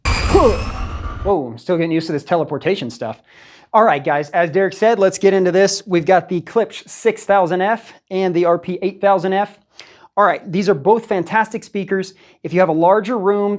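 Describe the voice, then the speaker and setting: A man co-hosts a product video as the technician. He explains things informally and plainly, in a casual presenting style. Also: high-pitched. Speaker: co-host and audio technician.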